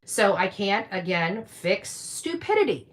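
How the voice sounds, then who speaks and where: A woman's voice: mid-range voice, big pitch swings, measured pace. A woman in her fifties talking combatively and dismissively in a livestream rant, swearing with heavy emphasis. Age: 50s.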